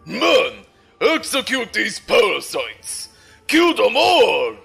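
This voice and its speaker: An actor playing a stern, arrogant commodore of a space base, speaking in a formal, pompous, commanding way in an audio drama. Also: male voice, high voice, huge pitch swings, takes it slowly, vocal fry, bright, forceful tone, husky, soft background music, exaggerated voice. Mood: furious, commanding.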